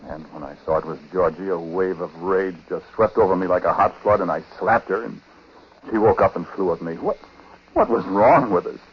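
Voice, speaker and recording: Deep-voiced troubled husband, old radio. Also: male voice. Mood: agitated, distraught. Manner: halting, conversational. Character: troubled, remorseful husband and dutiful nephew. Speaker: lead actor and narrator. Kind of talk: 1940s radio acting, first-person confessional narration. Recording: noisy, muffled 1940s radio recording.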